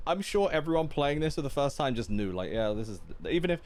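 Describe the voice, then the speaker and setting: A man's voice: on the high side, very animated, fast, a little mellow. A man streaming a game, giving casual reaction commentary as he muses aloud skeptically.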